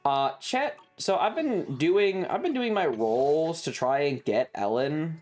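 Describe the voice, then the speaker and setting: A livestreamer chatting casually while gaming, rambling and halting, with a wry, sarcastic manner. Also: mid-range voice, lively intonation, a little mellow. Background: music.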